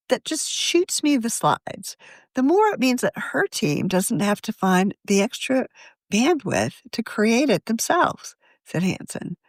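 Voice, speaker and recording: A woman narrates a written article for a podcast in a measured, articulate reading style. Her mid-range voice moves through a lively, varied intonation. The sound is dry, with very little room in it. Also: medium pitch, no echo. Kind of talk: scripted narration.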